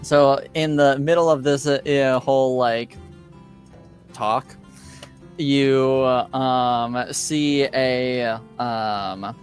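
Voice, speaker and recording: A man's voice: mid-range voice, steady tone. A man running a fantasy tabletop game, narrating scenes in a mid-range voice. He stops and starts, filling gaps with ums and uhs. Faint music plays behind him. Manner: halting, lots of ums and uhs. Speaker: game master.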